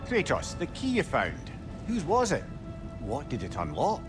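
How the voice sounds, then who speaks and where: A man's voice: on the high side, unhurried, over music. A man voicing a chatty, sympathetic and inquisitive companion in a video game. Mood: curious, wry. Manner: lively, probing, gentle. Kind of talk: dramatic video game voice acting.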